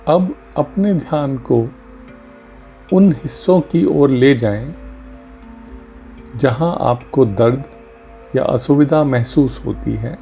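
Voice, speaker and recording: A man leading a guided meditation as a doctor, speaking gently and calmly in short phrases with long pauses. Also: mid-range voice, creaky. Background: music under the voice.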